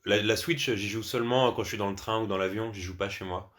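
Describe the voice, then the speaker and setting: A man's voice: on the low side, fairly even intonation, quick pace, fairly bright tone. A man with an accent from France, a podcast guest telling personal anecdotes in a casual, conversational way. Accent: French from France.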